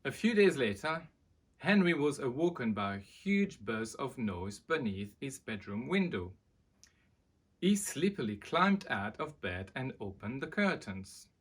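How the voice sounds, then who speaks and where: Slow, animated male storyteller. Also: mid-range voice, husky. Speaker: storyteller presenting a children's book. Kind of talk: expressive storybook reading for children.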